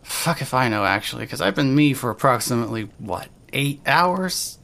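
Woman acting a bitter, rambling young woman, swinging pitch. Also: lively intonation, normal speed, creaky, fairly bright tone, exaggerated voice. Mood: rambling, tipsy. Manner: rambling, sweary, self-deprecating. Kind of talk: fandub audio drama, first-person monologue.

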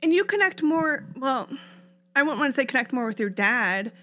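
A woman's voice: high-pitched, big pitch swings, moderate pace, pushed and bright. A young adult woman co-hosting a podcast, speaking in an earnest, chatty way and agreeing readily. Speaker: podcast co-host. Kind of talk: casual conversational chat.

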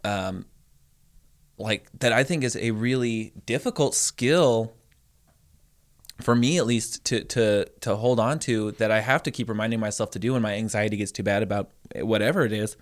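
Man chatting haltingly on a podcast. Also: on the low side. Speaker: podcast co-host. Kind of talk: casual conversational podcast talk.

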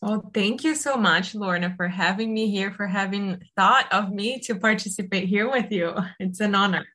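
A Brazilian woman answers questions as a podcast guest, talking earnestly about her life with small pauses and restarts. Her voice is of middle pitch. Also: sharp tone. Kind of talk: conversational interview.

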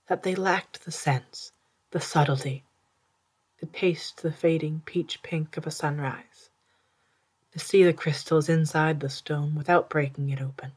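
A narrator with an androgynous, mid-pitched voice reads a literary story at a slow pace. The delivery is hushed and deliberate, with a soft, breathy edge and careful pauses between phrases. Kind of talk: scripted literary narration in second person, storytelling.

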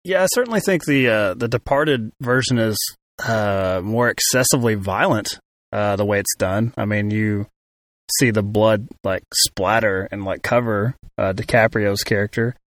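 A man's voice: lowish voice, moderate pace, a bit bright, no echo. A man co-hosting a casual film discussion, talking thoughtfully with frequent restarts and fillers. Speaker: film podcast co-host.

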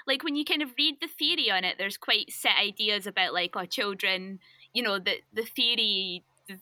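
A young woman talks as a podcast guest, chatting casually and laughing often. Her voice is very bright and sharp. The sound is dry and close. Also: mid-range voice, dry recording. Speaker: linguistics researcher guest.

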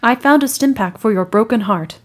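A woman voicing a blunt, literal-minded female warrior in a tabletop game, speaking in a stiff, formal, deadpan way. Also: fairly high pitch, brisk, natural voice.

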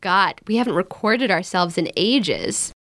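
An actress playing an outspoken, wisecracking young American elder sister in a naturalistic modern audio drama, with blunt, casual banter. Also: female voice, medium pitch, animated, a little slow, noisy background. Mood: breezy, teasing. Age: young adult.

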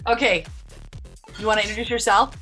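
A woman with a Utah accent hosting a podcast interview, chatty and quick to laugh. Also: mid-range voice, steady tone, bright, forceful tone, soft background music. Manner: bubbly, laughs often, chatty. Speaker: podcast host. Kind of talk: casual interview chat.

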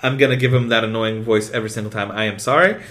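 Sweary, excitable male podcast co-host. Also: fairly low pitch, fast. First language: not English. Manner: swears constantly, rambling and excitable. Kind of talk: casual chat.

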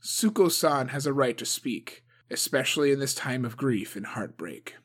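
A man voicing a calm, dutiful young male clan leader who speaks in a measured, grave way. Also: mid-range voice, breathy edge. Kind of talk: audiobook character voice.

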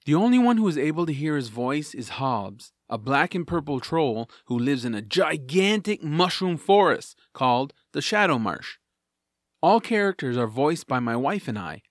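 A man's voice: mid-range voice, no room sound, clean studio sound. A man narrating a scripted introduction as the host of an audio series.

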